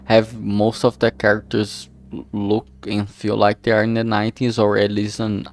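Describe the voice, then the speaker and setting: A man livestreaming casually while he works, talking in a halting, distracted way that trails off mid-sentence. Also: fairly low pitch, completely flat delivery. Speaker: streamer and visual novel creator. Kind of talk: casual solo livestream chat while working. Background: background music.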